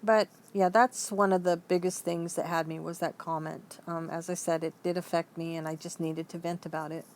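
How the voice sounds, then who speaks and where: A woman's voice: lowish voice, little pitch movement, normal speed, hardly any pauses, a little mellow, a little hiss or hum. A woman talking casually and emphatically as she vents her opinions in a rambling, conversational online commentary. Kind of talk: casual opinion vlog, venting.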